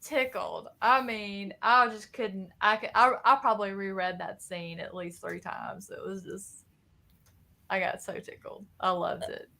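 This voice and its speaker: A woman's voice: medium pitch, a little slow, gravelly. A woman co-hosting a casual book chat, telling scenes animatedly and acting out characters' reactions. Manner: animated storytelling, acts out reactions. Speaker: co-host of a book readalong discussion.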